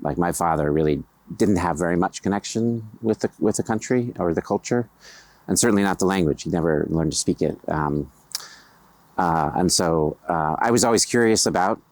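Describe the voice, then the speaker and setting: Low-voiced American man, hesitant. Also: darkish tone, dry recording. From United States. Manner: measured, hesitant, frequent fillers and restarts. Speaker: interviewee, writer. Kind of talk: thoughtful interview answers.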